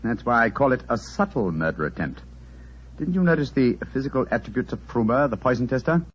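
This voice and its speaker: An actor playing a self-assured English detective in a 1940s radio drama, speaking incisively and urbanely with a British accent. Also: male voice, medium pitch, very animated, a little fast, a little hiss or hum, natural voice. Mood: keen, assured. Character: Sherlock Holmes, brisk, keen, self-assured English detective. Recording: muffled old 1940s radio recording.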